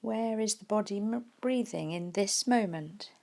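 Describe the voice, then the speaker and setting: A woman guides a mindfulness meditation as a teacher. Her mid-range voice has a noticeable creak to it. She speaks gently and without hurry, leaving soft pauses between phrases. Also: medium pitch.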